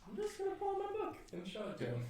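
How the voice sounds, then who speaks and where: A man's voice: extremely high pitch, huge pitch swings, very fast, mellow, slight background noise. A man playing a tabletop role-playing game, improvising questions and casual banter in an echoey room, some way from the microphone. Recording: echoey room, distant from the mic.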